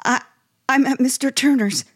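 A woman's voice: sits high, animated, normal speed, slightly rough. An actress playing a frightened young woman at gunpoint, hesitant and pleading, in 1950s radio suspense style. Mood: shaken, subdued. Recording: 1950s radio broadcast, music behind.

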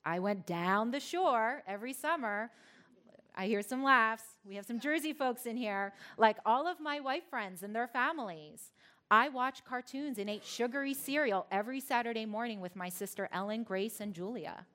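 A woman's voice: a little high, moderate pace, sharp tone. A woman from Philadelphia giving a personal talk to a live audience, telling her story with playful asides to the crowd. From Philadelphia, United States.

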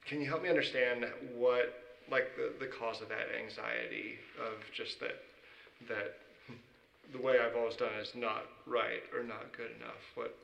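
Soft-spoken pastor, gravelly, distant. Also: male voice, mid-range voice, steady tone, a little slow, echoey room, muffled recording, a little hiss or hum. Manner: soft-spoken, affirming listener. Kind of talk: church interview.

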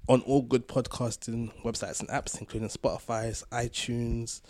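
A man's voice: mid-range voice, level tone, moderate pace, a little mellow. A man hosting a casual group podcast, bantering in slang. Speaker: podcast host.

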